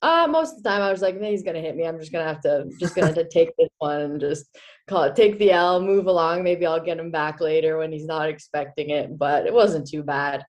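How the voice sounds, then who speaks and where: A young woman from the Czech Republic, a hockey player, chatting casually in an interview, full of fillers and quick laughs. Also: deep voice, darkish tone.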